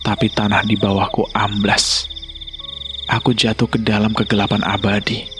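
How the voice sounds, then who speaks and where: A man narrating a scripted horror story in a dramatic way. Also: fairly low pitch.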